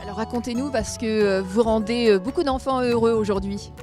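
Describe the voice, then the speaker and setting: Woman, local show host, high voice, on-location interviews, music behind. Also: fairly quick.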